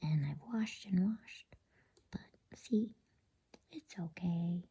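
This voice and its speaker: A woman whispers a storybook in ASMR style, very breathy and low in pitch. Her delivery is hushed and slow, as a bedtime reading. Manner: soft, unhurried, hushed.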